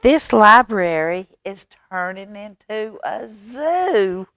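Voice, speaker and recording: A woman's voice: mid-range voice, very animated, slow, fairly bright tone, dry recording, voice pushed up, exaggerated voice. A woman voicing a polite but increasingly fed-up young boy in a children's storybook. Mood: louder complaint. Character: exasperated young boy complaining in a noisy library.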